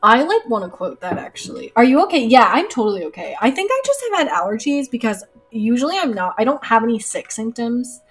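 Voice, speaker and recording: A woman's voice: on the high side, sing-song, fairly quick, few pauses. A young woman streaming live while she paints, chatting casually with lots of fillers, her nose stuffed up. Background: soft lo-fi music.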